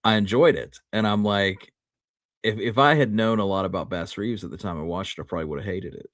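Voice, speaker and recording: A man's voice: fairly low pitch, a little fast, fairly bright tone, dry recording, clean studio sound. An American man chatting casually as a co-host, giving his opinions in colloquial language. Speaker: podcast co-host. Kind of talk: casual history chat.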